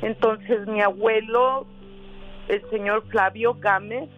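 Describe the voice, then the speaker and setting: Halting woman on a tinny phone line. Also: a little high, steady tone, faint music behind. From El Paso, Texas. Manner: hesitant, halting. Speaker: caller. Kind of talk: phone call to a radio show. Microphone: telephone.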